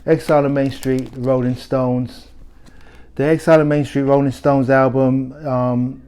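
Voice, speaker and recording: A middle-aged man talks through his favourite records in an easy, conversational way, stopping and restarting his sentences as he goes. His voice is mellow and dark, pitched in an ordinary middle range. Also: unhurried, clear, resonant tone. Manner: relaxed, conversational, with frequent restarts and fillers. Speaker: record shop host. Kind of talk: casual music recommendations to camera.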